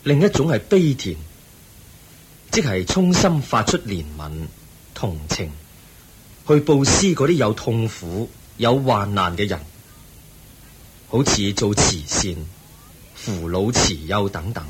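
An actor playing the Buddha, a calm and compassionate teacher who speaks gently and patiently, in a radio play. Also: male voice, on the low side, a little hiss or hum. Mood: serene. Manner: gentle, patient, measured preaching. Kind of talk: Buddhist radio drama acting.

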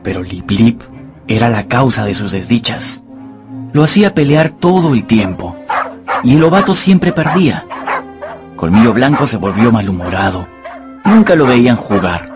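Male narrator for dramatized audiobook, average register, expressive, measured delivery. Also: medium pitch, over music.

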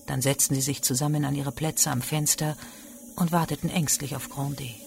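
A man's voice: on the high side, steady tone, normal speed, vocal fry, darkish tone, a little hiss or hum. A man narrating a literary radio drama from a script.

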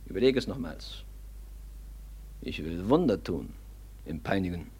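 A man's voice: low voice, expressive, measured pace, darkish tone, slightly rough, exaggerated voice. An actor playing a cruel, commanding young nobleman in a German radio drama, sneering and imperious in a theatrical way. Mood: menacing. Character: cruel, commanding young nobleman villain tormenting his servant.

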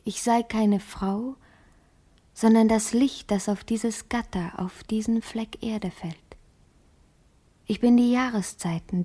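An actress playing a dreamy, solitary young country woman in a radio play, in a hushed, reflective and lyrical monologue. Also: medium pitch, slightly rough.

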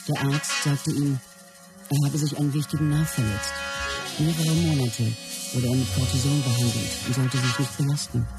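An actress playing a wife who recalls life with her husband in a measured, composed radio drama narration. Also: extremely low pitch, steady tone, echoey room, over music. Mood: reflective. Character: Bruce Lee's wife, reflective woman recalling their life.

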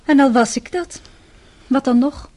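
Breathy highish secretary lady, soft dark tone, guarded n unhappy, old radio play. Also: measured pace. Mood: subdued, defensive. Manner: reticent, soft, defensive. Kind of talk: Dutch radio play acting.

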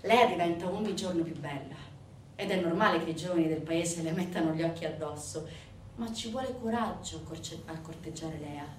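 A woman, a stage actress, narrating a theatrical monologue in a dramatic, emphatic way with deliberate pauses. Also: on the low side. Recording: roomy, distant theatre recording, background noise.

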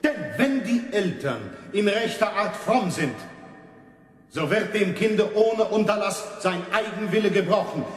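An actor playing a stern preacher in a radio play, declaiming a sermon that demands obedience. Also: male voice, sits high, animated, a little slow, soft background music. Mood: stern, admonishing. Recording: very echoey, long hall reverb.

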